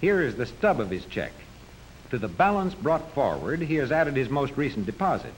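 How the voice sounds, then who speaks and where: A man's voice: medium pitch, sing-song, normal speed. A man narrating a 1940s educational film from a script, explaining step by step in a didactic manner. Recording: noisy 1940s film soundtrack.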